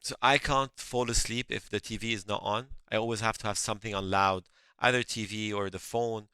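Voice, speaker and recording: A man's voice: fairly low pitch, steady tone, a little fast, sharp tone, smooth, no room sound. A middle-aged man who grew up in France and speaks English as a later language, answering interview questions in an earnest way, often restarting his words. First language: French. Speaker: guest, company founder.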